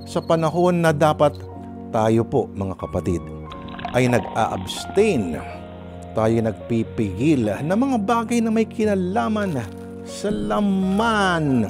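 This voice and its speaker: Man, radio drama host and narrator, very lively intonation, chatty, dramatic, music behind. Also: fairly high pitch, slow. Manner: chatty, dramatic, addresses listeners often. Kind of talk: conversational radio hosting and storytelling.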